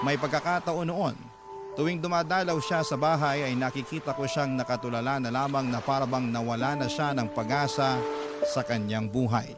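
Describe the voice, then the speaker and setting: A man playing a sorrowful young nephew, narrating earnestly and in measured phrases as a scripted radio drama letter. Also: medium pitch, close to an everyday voice. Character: sorrowful young nephew recounting his uncle's downfall. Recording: prominent background music under narration.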